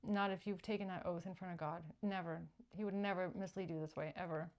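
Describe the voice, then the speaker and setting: A woman hosting a tarot reading, speaking spontaneously to viewers and repeating short phrases for emphasis between pauses. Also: lowish voice, fairly even intonation. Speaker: tarot reader and channeller, show host.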